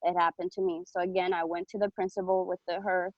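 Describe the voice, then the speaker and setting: A young woman from Ethiopia reads her own speech over a video call, earnest and personal, pausing with fillers. Her voice sits a little low and is dry and close, and the call audio sounds dull and lo-fi. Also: flat delivery, dry recording. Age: around 20. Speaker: public commenter. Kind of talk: reading a prepared speech.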